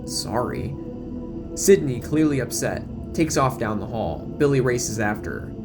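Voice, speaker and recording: A man's voice: medium pitch, animated, moderate pace. A man giving dramatic scripted narration as the narrator of an audio drama, with music behind him.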